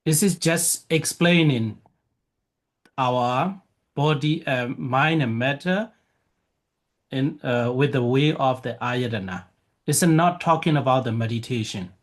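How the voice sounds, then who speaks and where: A man whose first language is Burmese, a monk teaching a lecture, talking haltingly with many fillers and restarts. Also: medium pitch. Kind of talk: Dharma lecture with questions.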